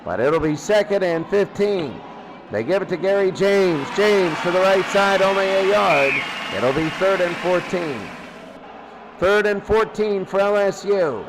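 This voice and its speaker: A man's voice: high voice, measured pace, slight background noise. A man with an American accent calling football play by play, exclaiming on big plays. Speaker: play-by-play commentator. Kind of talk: live American football commentary.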